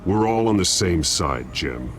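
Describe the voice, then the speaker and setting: An actor playing a grim, commanding masked vigilante, terse and stern, in a video game. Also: male voice, sits low, lively intonation, measured pace, creaky, a little hiss or hum, full character voice. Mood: stern, urgent. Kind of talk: dramatic video game voice acting.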